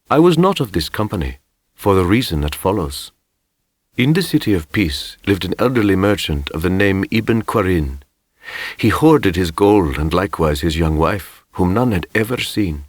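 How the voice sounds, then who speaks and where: A man voicing a solemn medieval envoy who recounts his travels in stately, formal audiobook narration, with a husky voice. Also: low voice, dry recording, studio quality. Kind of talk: first-person scripted storybook narration.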